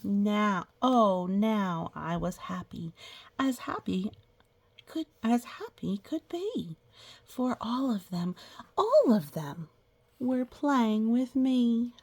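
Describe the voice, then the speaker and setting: A woman reading a picture book aloud to young children, gently pausing to explain things and ask questions. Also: mid-range voice, very animated. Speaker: children's story reader.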